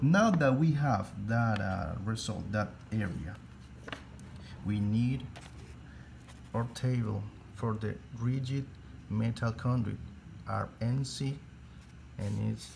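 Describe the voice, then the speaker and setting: A man with a Spanish accent, Spanish his first language, teaching an electrical calculation slowly step by step, halting and restarting phrases as he searches for English words. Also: on the low side, vocal fry, a little mellow, a little hiss or hum. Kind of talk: slow step-by-step tutorial.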